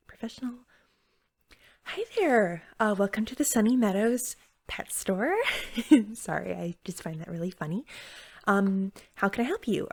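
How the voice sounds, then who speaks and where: A woman doing soft-spoken ASMR roleplay as an eager, friendly shop cashier, chatty and slightly awkward, often dropping into whispers. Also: mid-range voice. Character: eager, friendly young female magical pet shop cashier.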